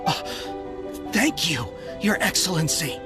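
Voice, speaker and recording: An earnest young soldier addresses a superior with formal deference and hot-blooded devotion. The pitch moves widely, and game music plays clearly behind the voice. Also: big pitch swings, measured pace. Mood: startled, hesitant. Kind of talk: video game voice acting. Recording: music under the voice.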